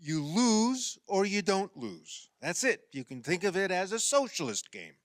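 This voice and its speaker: A man's voice: high voice, sing-song, measured pace, no room sound, clean studio sound. An actor in a radio play playing an exasperated man who coaxes and explains insistently, often stammering. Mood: patient explaining. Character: exasperated man coaxing a woman through a playground basketball game.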